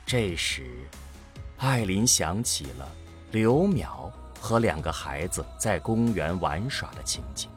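A man's voice: sits low, very animated, slow pace, faint music behind. A man narrating an audio drama from a script in a grave, dramatic manner.

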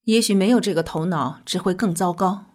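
A woman playing a calm, earnest scientist, explaining in a measured way in an audio drama. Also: lowish voice, lightly acted. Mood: grave, insistent. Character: calm, earnest female scientist with mystical faith.

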